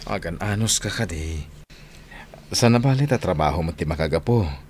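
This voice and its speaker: Casting: earnest, patient young Ilocano man in radio drama, lowish swinging pitch, slight background noise. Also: lively intonation, normal speed, a little mellow. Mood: apologetic, patient. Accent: Northern Philippine Ilocano. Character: hardworking, patient young man Saldy, away from his sweetheart.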